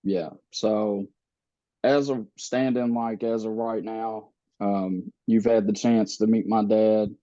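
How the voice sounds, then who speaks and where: Man in his thirties, low flat voice, slow halting speech, dull dry recording. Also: no echo. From Philippines, raised in the US. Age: 30s. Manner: slow, halting, many fillers and restarts. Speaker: podcast guest. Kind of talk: casual interview, telling his life story.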